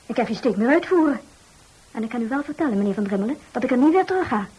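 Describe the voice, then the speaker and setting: Very husky, tough young lass. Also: female voice, on the high side, animated, rapid-fire, warm tone, poor audio. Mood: aching, complaining. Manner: blunt, colloquial. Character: tough, plain-spoken working-class young woman, stubborn. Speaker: radio actor. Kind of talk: radio drama dialogue.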